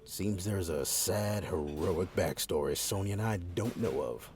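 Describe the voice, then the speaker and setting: An actor playing a calm, supportive male agent who speaks in a measured, formal, gently wry way in an audio drama. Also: low voice, a little hiss or hum.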